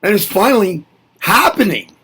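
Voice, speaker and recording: A man's voice: sits high, sing-song, moderate pace, a bit bright, breathy edge. A man giving a reflective spoken lesson, musing aloud and asking himself rhetorical questions before answering them. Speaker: presenter.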